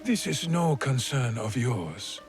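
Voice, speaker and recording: An actor playing a stern, guarded feudal lord and father in a game cutscene, speaking curtly and with measured gravity. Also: medium pitch, very animated, soft background music. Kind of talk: video game cutscene acting.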